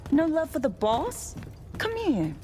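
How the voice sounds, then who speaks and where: A woman's voice: high-pitched, huge pitch swings, takes it slowly, background noise, full character voice. An actress playing a determined, teasing teenage girl thief in a video game, with dry, casual retorts. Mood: affectionate, firm.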